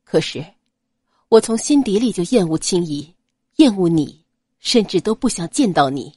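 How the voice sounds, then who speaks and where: A woman voicing a cold, restrained young nobleman in an audiobook, quiet, grave and measured. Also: lowish voice, lively intonation, husky, no echo, studio quality. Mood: solemn, cold. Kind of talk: dramatized audiobook character acting.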